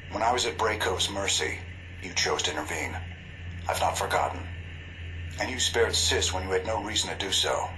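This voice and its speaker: An older intelligence broker, speaks over a faintly noisy radio link. His voice is low and crisp-edged, with cool, formal, precise phrasing suited to a spy game. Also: bright, forceful tone. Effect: radio transmission.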